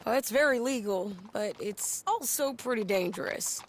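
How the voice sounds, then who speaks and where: A woman's voice: a little high, animated, normal speed, slight background noise, natural voice. An actress playing a tough, brash, friendly frontier gunwoman with a bold drawl, in a video game drama. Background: faint music.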